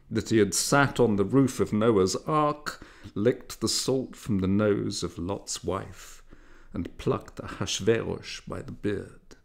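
Slow, low-voiced male storyteller. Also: vocal fry. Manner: measured, dramatic storytelling. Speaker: audiobook narrator. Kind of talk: literary story narration.

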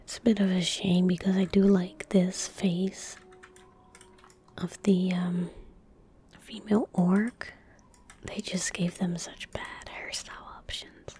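A young woman gives hushed ASMR commentary on a game in a soft, breathy whisper. Her delivery is very slow and gentle, with a mellow tone, right up at the mic over keyboard and mouse clicks. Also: on the low side, flat delivery, slight background noise. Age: young adult. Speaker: ASMR gaming streamer. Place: indoor room at a desk. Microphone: close desk microphone. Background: keyboard typing and mouse clicks.

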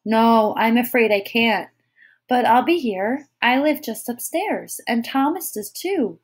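A gentle, protective girl from a storybook, played by a woman narrator. She speaks in a soft, reassuring way. The pitch is on the high side. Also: expressive, slow, slightly rough, exaggerated voice. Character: gentle, protective young girl comforting a child. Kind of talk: audiobook character voice.

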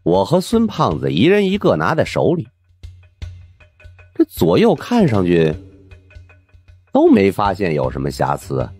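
A man narrating an audiobook in a colloquial, wry and expressive first-person storytelling style. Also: on the low side, a little slow, pauses often, breathy edge, faint music behind.

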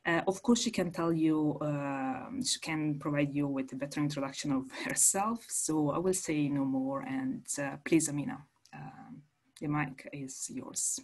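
A woman's voice: sits low, fairly even intonation, unhurried, a little mellow. A woman hosting a video-call workshop, introducing a speaker hesitantly with many fillers.

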